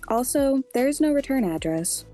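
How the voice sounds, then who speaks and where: A woman playing a deadpan, exacting radio producer trading audio drama banter in a matter-of-fact way. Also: sits high, moderate pace, soft background music.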